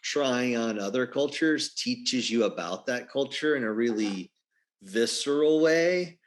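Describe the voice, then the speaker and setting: A man panelist speaking thoughtfully on a video call, with halting restarts. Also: medium pitch, expressive.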